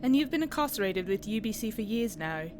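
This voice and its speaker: A woman's voice: mid-range voice, sing-song, brisk, background noise, lightly acted. A woman playing a businesslike interrogator who puts formal, pointed questions to a soldier in an audio roleplay. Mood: skeptical. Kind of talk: dramatic audio roleplay acting.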